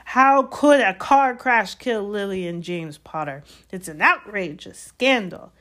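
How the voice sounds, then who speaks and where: Woman voicing a gruff, folksy giant storybook man. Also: lowish voice, measured pace, close to an everyday voice. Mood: outraged. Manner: folksy, dropped-consonant dialect speech. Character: gruff, kindly giant man, blunt and anxious. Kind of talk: storybook character voice.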